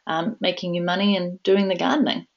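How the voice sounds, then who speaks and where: A woman co-hosting a live webinar Q&A, upbeat and quick to laugh. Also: lowish voice, little pitch movement. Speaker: webinar co-presenter. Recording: dull lo-fi webinar audio.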